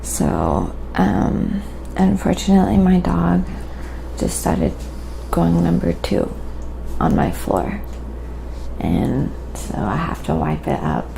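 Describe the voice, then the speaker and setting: Very creaky-voiced woman, casual livestream chat. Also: on the low side, big pitch swings, takes it slowly, mellow. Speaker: live-streaming artist and host. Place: apartment. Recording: clearly noisy livestream recording.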